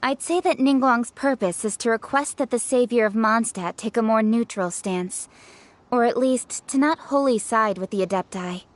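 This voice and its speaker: A woman's voice: fairly high pitch, moderate pace, close to an everyday voice. A woman playing a proud, forthright young female official in a video game, speaking formally and assertively. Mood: businesslike. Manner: formal, assertive, crisp phrasing.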